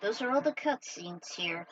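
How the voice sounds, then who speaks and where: A woman reading her own story aloud and chatting informally to her followers, with an excitable manner. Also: a little high, no echo, distant mic, muffled recording. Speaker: fan fiction writer and narrator.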